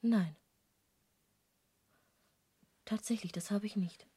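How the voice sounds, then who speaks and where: A woman acting in a literary radio drama as a disillusioned, reflective traveller, speaking in a measured, dry way with a husky, breathy voice. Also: medium pitch, warm tone. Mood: disappointed. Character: disillusioned female visitor to hell, wistfully reflective narrator.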